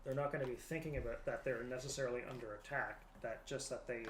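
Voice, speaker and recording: A man's voice: medium pitch, steady tone, fast. A man talking casually as he reasons through a tabletop game with a group. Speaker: tabletop roleplaying game player. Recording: roomy echo, faint background noise.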